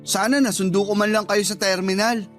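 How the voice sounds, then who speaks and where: Casting: man as cheeky young kid brother, light high male voice, taunting, faint music behind. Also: fairly even intonation, a little fast, natural voice. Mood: questioning, needling. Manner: taunting, questioning. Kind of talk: radio drama acting.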